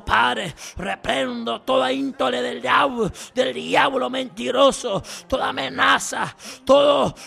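A man's voice: extremely high pitch, creaky, bright, forceful tone, husky. A man leading a fervent intercessory prayer, crying out in impassioned, repetitive pleas. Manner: impassioned, repetitive pleading cries. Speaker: prayer leader.

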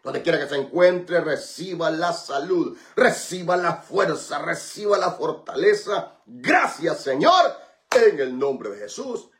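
A man's voice: on the high side, sing-song, takes it slowly, a bit bright, roomy sound. A man hosting a radio prayer program, praying fervently with repeated invocations and chatting with listeners between prayers. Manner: fervent repetitive praying, then chatty and joking. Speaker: radio pastor.

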